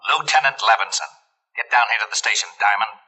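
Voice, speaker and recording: An American actor playing a gruff, sarcastic, exasperated police lieutenant in a 1940s radio drama. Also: male voice, on the low side, fast, bright, forceful tone, heavily acted, poor audio. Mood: irate, threatening. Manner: grouchy sarcasm. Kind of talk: 1940s radio detective acting.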